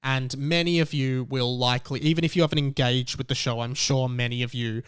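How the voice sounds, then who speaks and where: Clear-voiced man chatting casually. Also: medium pitch, steady tone, brisk. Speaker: podcast host. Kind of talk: casual solo commentary.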